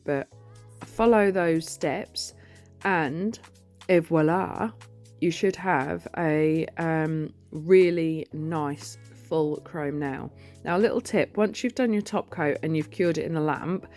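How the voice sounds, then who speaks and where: A woman with a husky voice giving a chatty, informal voice-over for a nail tutorial, recorded on a phone. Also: low voice, darkish tone. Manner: chatty, informal, self-deprecating. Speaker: nail artist and educator.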